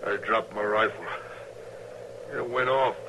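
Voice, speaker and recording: A wounded frontiersman in a radio western. He labors through his words slowly in a low, weakened voice with a western American accent. Clear noise covers the vintage recording. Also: steady tone. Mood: weak, pained. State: wounded, weak, labored speech (acted). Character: gravely wounded frontier wolf trapper, weak and halting. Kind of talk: old time western radio drama acting.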